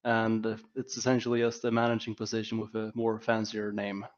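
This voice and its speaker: A man's voice: on the low side, monotone, a little fast, dull audio. A man explaining things casually as an esports director on a panel.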